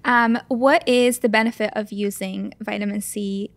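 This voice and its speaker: A woman's voice: medium pitch, steady tone, moderate pace, no room sound. A woman host with a Polish accent, enthusiastic and agreeable, chatting casually in an interview. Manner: enthusiastic, agreeable, frequent affirmations.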